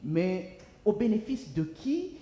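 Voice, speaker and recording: A man hosting a TV studio interview asks quick, pointed questions of his guests. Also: high voice, big pitch swings, dark tone, roomy sound, in a large hall. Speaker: TV host. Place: TV studio set.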